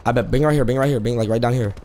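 A man's voice: mid-range voice, racing, a little mellow. An American man chatting on a casual gameplay stream in brash, profane, repetitive street slang. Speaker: live streamer playing a GTA roleplay game.

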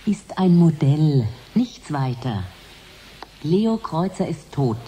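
A slow, very mellow scientist. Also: female voice, low voice, very animated, heavily acted. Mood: detached. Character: calm, matter-of-fact female scientist. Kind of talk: 1980s German radio drama acting as interview.

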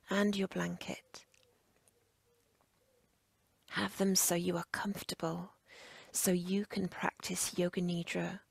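A woman leads a guided relaxation in a very creaky, husky near-whisper. Her delivery is unhurried and soothing, laid out in short measured phrases. The pitch barely moves as she instructs. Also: no room sound. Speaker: yoga nidra instructor.

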